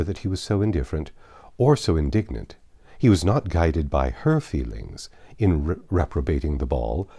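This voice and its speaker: A man reading a classic novel aloud as narrator, in a measured, formal storytelling manner. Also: low voice, dark tone. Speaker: audiobook narrator. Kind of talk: expressive literary reading aloud.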